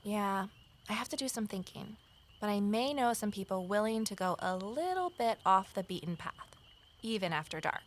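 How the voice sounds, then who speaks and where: An earnest young woman in an audio mystery drama, played by an actress. She has a mid-pitched voice and talks naturally and wryly, with a self-deprecating streak. She sounds like an amateur sleuth who doubts herself but cares a lot. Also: animated, normal speed, a little hiss or hum, close to an everyday voice. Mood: thoughtful, friendly. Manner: wry, self-deprecating, conversational. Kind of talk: naturalistic audio drama acting and first-person narration.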